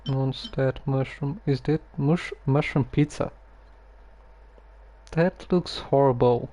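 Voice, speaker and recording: Very slow-talking man streaming a game. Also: medium pitch, mellow, a little hiss or hum. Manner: halting, muttering to himself. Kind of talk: casual live gameplay commentary.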